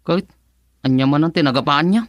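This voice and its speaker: A man's voice: a little high, brisk, no room sound, lightly acted. An actor playing a joking male friend and drinking buddy who teases his pal, in a radio drama. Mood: teasing. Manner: teasing, laughs often.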